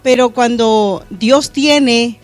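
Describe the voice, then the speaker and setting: A middle-aged woman pastor from Honduras, reflecting earnestly on her faith in a studio interview, pausing with fillers. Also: on the high side. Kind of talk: testimony interview. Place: church media studio.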